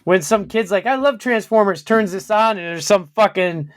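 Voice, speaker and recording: A man's voice: high-pitched, moderate pace. A man co-hosting a casual talk show, blunt and swearing freely, often breaking into laughs. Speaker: co-host. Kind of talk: casual podcast banter.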